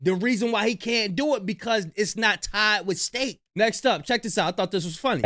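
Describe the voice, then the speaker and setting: A man doing reaction commentary in a slangy, animated way, talking straight to his viewers. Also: high voice, brisk, dry recording, studio quality.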